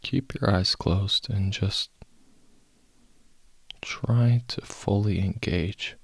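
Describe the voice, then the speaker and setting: A man leads a guided meditation at a very slow, unhurried pace. His voice is husky with a heavy creak, and he speaks softly and calmly, leaving long pauses between short instructions. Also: lowish voice, no echo. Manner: calm, unhurried, soft-spoken with long pauses.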